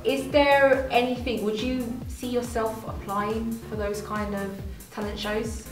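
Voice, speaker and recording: A woman's voice: on the high side, flat delivery, measured pace. A woman hosting an interview show, upbeat and encouraging, laughing often. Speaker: show host. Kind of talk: casual interview chat. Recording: echoey room, far from the mic, faint music.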